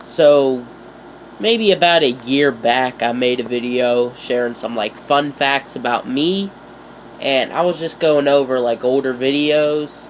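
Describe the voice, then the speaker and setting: A man's voice: medium pitch, measured pace, slight background noise, low-quality recording. A young man with a stuffy nose from a cold, rambling casually and jokingly to his channel viewers. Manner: rambling, jokey, self-mocking asides. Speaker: YouTuber. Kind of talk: casual vlog talk.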